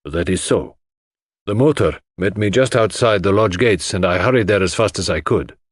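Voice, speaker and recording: A man voicing an authoritative London toxicologist giving formal, measured evidence in an audiobook. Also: low voice, slightly rough, no room sound, clean studio sound. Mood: measured, certain.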